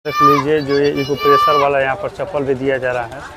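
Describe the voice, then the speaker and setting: A young man from Bihar narrating a walking vlog at an outdoor crafts fair on a lapel mic, repeating himself and loudly asking vendors for prices. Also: medium pitch, flat delivery, a little fast, very noisy. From Bihar, India. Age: young adult. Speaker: vlogger. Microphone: clip-on lapel mic.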